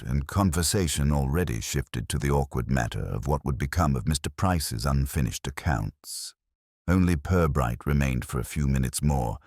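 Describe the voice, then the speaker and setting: A man narrating a radio mystery in a measured, dryly ironic storytelling manner. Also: very deep voice, no echo, studio quality.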